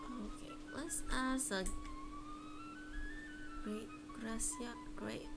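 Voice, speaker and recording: A woman game streamer giving casual, informal live commentary, sometimes halting as she reads. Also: high-pitched, huge pitch swings, loud music behind the voice, rough recording. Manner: reading names haltingly, informal.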